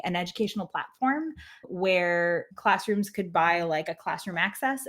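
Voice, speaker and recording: A woman in her thirties from Quebec whose first language is French, hosting a teaching webinar in a chatty, self-deprecating way, laughing often and filling with "like". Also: on the low side. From Quebec, Canada. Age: 30s. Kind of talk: casual webinar talk.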